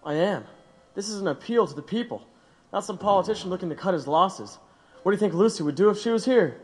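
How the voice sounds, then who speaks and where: Imprisoned male idealist played by a man, audio drama: rapid, insistent, stubborn, higher register. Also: expressive. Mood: determined. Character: principled imprisoned male radical, stubborn, determined.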